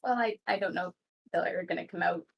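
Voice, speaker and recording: A woman acts out a shy, secretive female assassin from an anime adventure game. Her voice is husky with a creaky edge, and she speaks in hesitant, bashful stammers. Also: on the low side, fast talker, dull audio.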